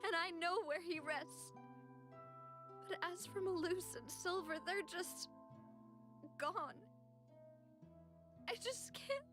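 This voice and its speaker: A high, youthful female voice playing an earnest young noblewoman in an anime game. She is dutiful and emotional, a leader carrying grief, and music plays underneath. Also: takes it slowly, long pauses. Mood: grief-stricken. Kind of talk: anime-style video game drama acting. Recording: music behind.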